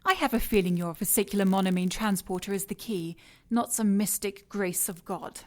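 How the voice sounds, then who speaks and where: An actress playing a driven, composed scientist villain, formal and condescending, in an audio drama. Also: female voice, medium pitch, moderate pace, lightly acted. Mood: driven, smug.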